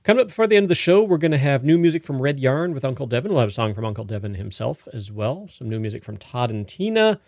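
Guy hosting a music radio show, chatty, mid pitched voice, dry studio sound. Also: sing-song, fast talker, gravelly, hardly any pauses, a little mellow, dry recording. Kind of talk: casual radio chat, announcing songs.

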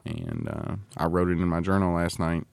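A male podcast host with a fairly low voice, recorded close and dry with little room sound. He banters casually, teasing his companions and playing the aggrieved party. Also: flat delivery, normal speed, creaky, a little mellow, clear, resonant tone, no echo.

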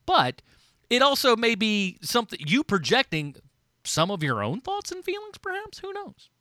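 An American man hosts a casual film chat, wry and joking as he talks. His voice sits high and swings through a lively range. It sounds bright and close on a dry recording. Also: moderate pace, dry recording. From United States. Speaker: podcast host.

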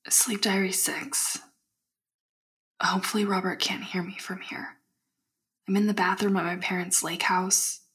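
A woman playing an unsettled young woman secretly confiding an audio diary in a halting, breathy half-whisper. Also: mid-range voice, little pitch movement, lightly acted. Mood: furtive, wistful. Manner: halting, hushed, introspective. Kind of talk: intimate found-footage audio diary acting.

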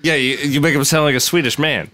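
A man's voice: a little high, fairly even intonation, fast, a crowd in the background. A man co-hosting a casual comedy podcast, making dry, sarcastic quips. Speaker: podcast co-host.

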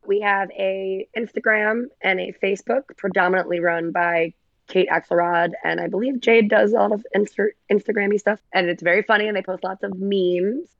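A raspy-voiced woman, a podcast guest chatting casually, slightly hoarse. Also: medium pitch, fairly even intonation, normal speed, no room sound, highs cut off. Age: 30s. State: recovering from covid, hoarse. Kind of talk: casual chat.

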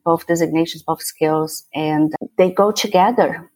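Middle-aged Brazilian woman, native Portuguese speaker, relaxed interview guest; low-pitched, dry close recording. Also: unhurried, no echo, poor audio. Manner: easygoing, laughs lightly, repeats words as she thinks. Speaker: guest, tax attorney and CPA. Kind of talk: casual interview talk.

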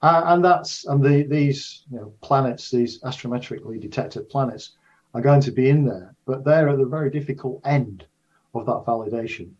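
An older man with a husky, slightly rough voice answers as an expert interview guest. He explains things carefully and restarts phrases as he goes. The audio is somewhat dull and muffled. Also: medium pitch, normal speed, darkish tone. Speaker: interview guest, astrophysics professor.

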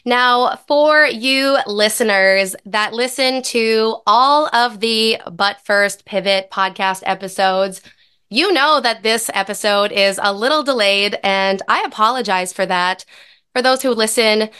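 A woman in her thirties hosting a podcast in a casual, upbeat way, her voice a little raspy from recovering from a lost voice. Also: medium pitch, measured pace, bright, forceful tone. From American Midwest. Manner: upbeat, chatty. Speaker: podcast host and dietitian.

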